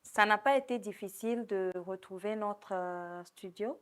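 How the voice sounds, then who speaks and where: A woman's voice: mid-range voice, a little slow. A woman hosting a TV interview, addressing her guest politely and formally. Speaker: TV show host.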